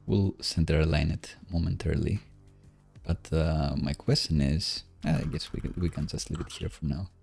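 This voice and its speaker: A man giving casual live coding commentary, halting as he thinks aloud while he works. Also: extremely low pitch, fairly even intonation, gravelly, a little mellow, husky, soft background music.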